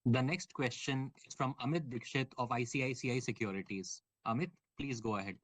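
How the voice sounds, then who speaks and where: A man's voice: mid-range voice, brisk, no room sound. A man moderating a conference call in a polite, formal, procedural way.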